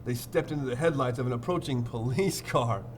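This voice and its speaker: A man's voice: mid-range voice, brisk, a little hiss or hum. A man teaching through a storybook read-aloud, playful and theatrical, repeating phrases and chatting to his pupils.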